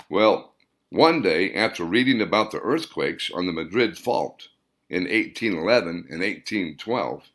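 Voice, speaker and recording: A man voicing an earnest male character who recounts family tales in unhurried audiobook dialogue. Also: low-pitched, fairly bright tone, exaggerated voice. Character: earnest man telling his grandfather's cave story.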